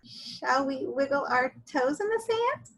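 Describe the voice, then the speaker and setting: Lady doing a sweet dramatic storybook girlfriend, way up high, swoopy and emphatic. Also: big pitch swings, measured pace, a bit bright, heavily acted, poor audio. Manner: theatrical, exclaiming, emphatic. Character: sweet, smitten storybook woman, dramatic and reassuring.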